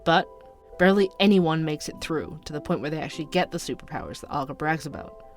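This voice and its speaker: An actor playing a sardonic, suspicious young night-shift barista who narrates a podcast in an audio drama, wry, conspiratorial and conversational. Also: male voice, a little high, expressive, quick pace, soft background music.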